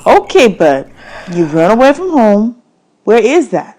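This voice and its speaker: A woman reader puts on a friendly driver from a children's storybook, a grown man who teases and frets a little. The voice is lifted well above the reader's own, with slow, stretched and folksy emphasis. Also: fairly high pitch, big pitch swings, dark tone, a little hiss or hum, pitched above the performer's own voice. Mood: gently firm. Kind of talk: children's novel read aloud.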